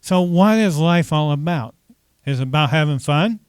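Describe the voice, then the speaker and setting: A man's voice: on the high side, expressive, moderate pace, clean tone, no echo, clean studio sound. A man preaching a conversational sermon as pastor, often asking the congregation questions and saying "okay" between points.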